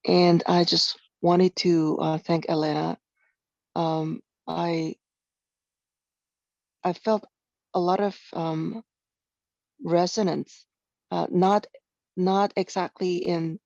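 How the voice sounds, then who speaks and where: A woman from Taiwan, sharing hesitantly with many pauses as a newcomer on a video call. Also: fairly low pitch, little pitch movement, creaky, no echo. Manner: hesitant, many ums, soft-spoken. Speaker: newcomer meeting member. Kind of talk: gentle personal share. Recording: dull lo-fi dry call audio.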